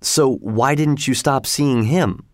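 A man's voice: medium pitch, takes it slowly, dry recording, clean studio sound, lightly acted. A man playing a doubtful, jealous young nobleman in love, earnest and questioning, in a storybook reading.

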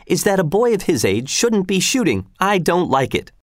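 A man's voice: high voice, big pitch swings, normal speed, dry recording, clean studio sound, voice pushed up. A man reading a story voices a worried wife and mother who scolds and frets insistently, in a raised voice. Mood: scolding. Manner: nagging, fretful, insistent. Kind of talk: audiobook character reading.

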